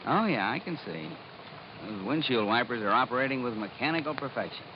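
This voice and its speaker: Male actor as calm, careful policeman husband, lively intonation, muffled old-time radio sketch with noise behind. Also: mid-range voice, a little fast, close to an everyday voice. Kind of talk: 1950s radio public service sketch.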